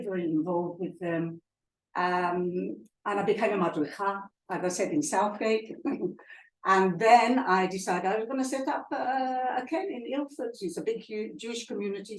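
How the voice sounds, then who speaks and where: A woman's voice: mid-range voice, a little hiss or hum. Older London-born woman, roomy hall. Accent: London, softened. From England. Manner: chatty, anecdotal, with frequent fillers and restarts. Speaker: guest speaker. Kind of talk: talk to an audience. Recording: echoey hall, heard from far off.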